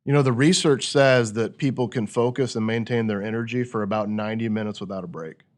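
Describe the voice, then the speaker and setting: A man's voice: lowish voice, steady tone, a little fast. A man hosting a podcast in a conversational coaching style, instructive and fond of rhetorical questions. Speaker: podcast host leading a discussion.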